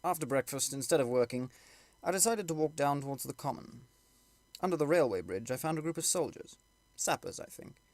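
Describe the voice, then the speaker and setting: A man's voice: on the high side, animated, brisk, a little mellow, no room sound. A man reading a literary story aloud as scripted audiobook narration.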